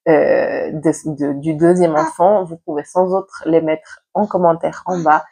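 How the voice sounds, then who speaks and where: A woman's voice: deep voice, steady tone, unhurried, mellow, breathy edge. A woman, stuffy with a head cold, telling a personal story to camera at home in a chatty, candid way, with many hesitation fillers. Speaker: vlogger and mother. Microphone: external mic plugged into a camera.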